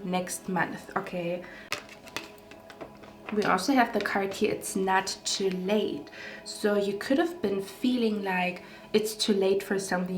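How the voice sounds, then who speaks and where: A woman reads tarot cards straight to camera in a loose, rambling way, full of fillers and checking in with "okay?" after her phrases. Her voice sits at an ordinary middle pitch. The room around her rings with noticeable echo. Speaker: tarot card reader. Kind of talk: casual pick-a-card tarot reading to camera. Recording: echoey room.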